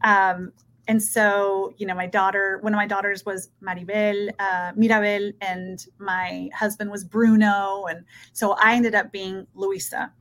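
A woman's voice: medium pitch, little pitch movement, a little slow, fairly bright tone. Woman from Peru, 30s, interview guest, earnest, conversational, checks in with "right?". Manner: earnest, often adds "right?". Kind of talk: casual interview talk.

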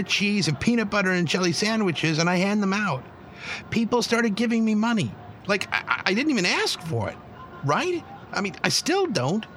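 Man playing a high-voiced, chatty young boy. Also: a bit bright, slight background noise. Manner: chatty, informal, youthful filler-laden talk. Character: chatty, proud, idealistic eleven-year-old boy activist. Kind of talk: audio drama acting.

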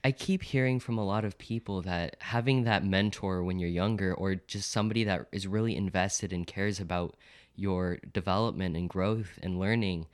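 A man's voice: sits low, fairly even intonation, normal speed, smooth, no room sound. A man hosting an interview, asking questions in an engaged, conversational way.

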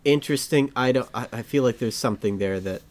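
Chatty podcast host, rambling. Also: male voice, mid-range voice, fast talker. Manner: rambling, self-correcting, excitable. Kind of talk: casual podcast chat.

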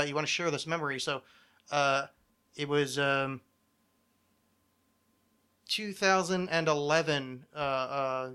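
Bright-voiced middle-aged man, rambling podcast host. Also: on the high side, clear, resonant tone. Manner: rambling, self-deprecating, profane. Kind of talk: casual chat.

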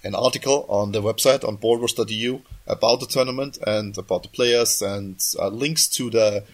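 A man's voice: lowish voice, normal speed. Coughing man hosting a podcast. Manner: rambling, often restarts and corrects himself. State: sick, coughing, voice just back. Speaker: podcast host. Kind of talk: casual podcast chat.

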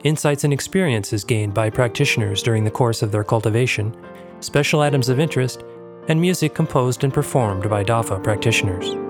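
A man's voice: mid-range voice, fairly quick. A man hosting a podcast, giving a formal, scripted introduction to the show. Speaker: podcast host. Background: instrumental music.

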